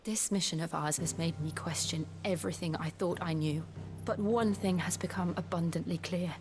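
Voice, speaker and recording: Woman playing a soft, steadfast fantasy heroine, loud music. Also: lowish voice, steady tone, normal speed, close to an everyday voice. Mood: earnest, sorrowful. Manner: soft, earnest, formal phrasing. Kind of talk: dramatic video game cutscene acting. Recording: loud cinematic music behind the voice.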